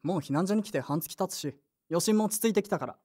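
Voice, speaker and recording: A woman's voice: on the low side, fast talker, dark tone, slightly rough. A woman playing a brusque, moody teenage boy in an audio drama, with blunt, casual boyish speech. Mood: sullen, casual. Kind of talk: Japanese audio drama acting with inner narration.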